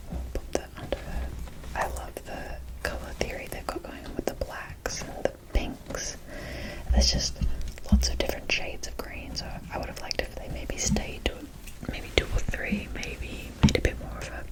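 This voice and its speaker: A woman speaking in a soft, unvoiced whisper, showing items in a casual, rambling ASMR style with pauses between short phrases. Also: rough recording. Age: adult. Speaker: ASMR video host. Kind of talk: whispered ASMR, unscripted doll showcase.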